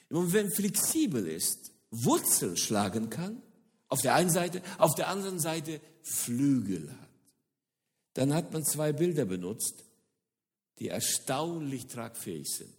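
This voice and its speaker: A middle-aged man from the former Soviet Union delivers a lively lecture-style sermon with a very lively voice that leaps between high and low. He repeats words for emphasis and pauses with frequent hesitations. His voice rings out in a large hall with a long reverb tail. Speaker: speaker on church mission.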